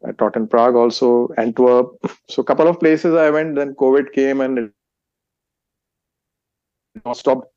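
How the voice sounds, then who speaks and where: A man's voice: mid-range voice, fairly even intonation, darkish tone, dry recording. Middle-aged Indian man, muffled call audio. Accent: Indian English. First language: Hindi. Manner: rambling, halting, strings together lists of places. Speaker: meditation teacher and author as guest. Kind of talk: interview answers.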